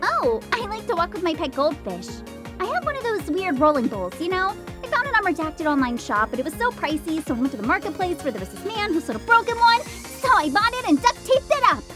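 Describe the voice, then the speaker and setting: A woman's voice: very high-pitched, very animated, fairly quick, over music, pitched above the performer's own voice. A woman voicing a quirky, opinionated schoolgirl in an audio drama, chatty, bubbly and emphatic. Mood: chatty, upbeat. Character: quirky, perky, opinionated schoolgirl obsessed with weather. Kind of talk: audio drama character acting.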